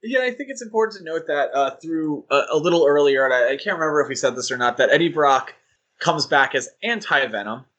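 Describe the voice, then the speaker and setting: A man's voice: on the high side, expressive, fast talker, a bit bright. A man co-hosting a podcast, talking casually and joking with many fillers. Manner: chatty, joking, with frequent fillers. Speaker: podcast co-host.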